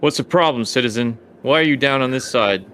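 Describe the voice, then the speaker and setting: A man's voice: medium pitch, brisk, fairly bright tone, lightly acted. A man voicing a wary, official-sounding special forces guard in a tabletop game.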